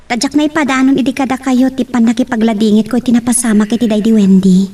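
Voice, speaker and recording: A woman acting a high-voiced, tender young woman. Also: fairly even intonation, fast, mellow, lightly acted. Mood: apologetic, respectful. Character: apologetic young woman Jamila, respectful, tender toward her beloved. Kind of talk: Ilocano radio-style drama acting. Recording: distant from the mic.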